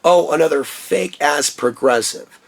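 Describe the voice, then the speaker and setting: A man with a booming voice talking through a personal vlog monologue in an impassioned, ranting way from his shed studio. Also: a little slow, creaky. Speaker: vlogger.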